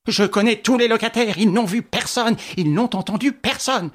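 An audiobook narrator voicing a stubborn, excitable veteran police inspector who talks in agitated, insistent bursts. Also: medium pitch, moderate pace, voice pushed up, close to an everyday voice.

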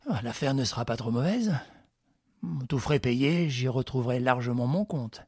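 A man voicing a cunning gentleman thief who gives orders briskly and with dry irony. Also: medium pitch, lively intonation, husky, no echo. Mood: commanding. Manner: brisk, commanding, ironic.

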